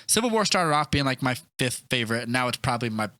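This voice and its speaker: A man's voice: medium pitch, sing-song, fast talker, pushed and bright, no room sound. A man hosting a casual movie chat podcast, blunt and opinionated, swearing freely as he talks. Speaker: podcast host.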